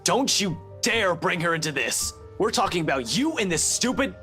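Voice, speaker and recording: A man's voice: sits high, very animated, normal speed, bright, forceful tone, faint music behind, heavily acted. A man voicing a stern, protective male investigator who scolds curtly and swears when angry.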